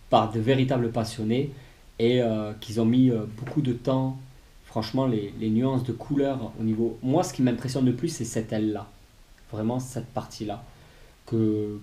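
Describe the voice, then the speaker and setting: Mellow-voiced Frenchman with a cold. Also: mid-range voice. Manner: hesitant, many "euh" fillers and restarts. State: has a cold, low on voice. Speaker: collectibles reviewer. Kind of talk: casual unboxing review.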